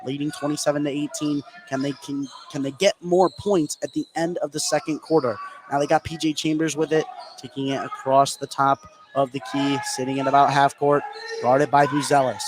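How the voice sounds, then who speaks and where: A man's voice: a little high, level tone, fairly quick, over music. A young man calling live basketball play-by-play for radio. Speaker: play-by-play sports announcer. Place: courtside in a high school gym. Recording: noisy gym ambience behind voice. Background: faint gym noise.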